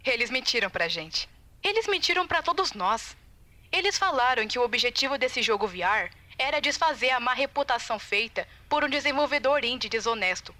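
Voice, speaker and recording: Video game audio log: woman playing anxious, determined female tester, Brazilian, bright tense tone, confiding and urgent delivery. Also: a little high. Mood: indignant. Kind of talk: recorded audio-log monologue, video game fan dub.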